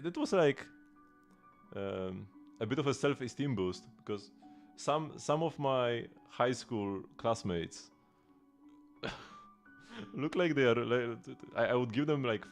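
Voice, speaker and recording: A man's voice: medium pitch, sing-song, a little slow, vocal fry, soft background music. A man in his late twenties chatting while he streams a game, rambling with lots of restarts and laughs. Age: late 20s. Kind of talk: casual gaming livestream chat.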